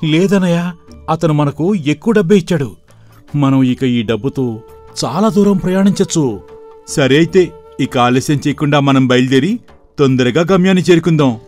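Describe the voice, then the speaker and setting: A man voicing an earnest, excitable young village brother in an animated moral story, with emphatic delivery. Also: a little high, normal speed, long pauses, natural voice. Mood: eager, earnest. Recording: voice over background music.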